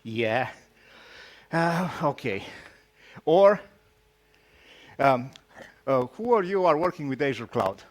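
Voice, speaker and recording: A man from Romania with a Romanian accent gives an informal tech talk, speaking haltingly and teasing his audience with jokes. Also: medium pitch, sing-song. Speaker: conference speaker, solution architect.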